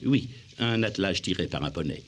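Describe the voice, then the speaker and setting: An actor playing a fussy, formal gentleman agent in a French cartoon dub, courteous but demanding. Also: low-pitched, expressive, brisk, slight background noise.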